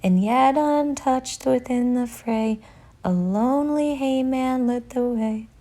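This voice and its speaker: A woman voicing an eerie, ghostly reciter of sinister rhymes in an audio play, whispery, ominous and measured. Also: on the high side, noisy background. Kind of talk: slow horror verse recitation in audio play.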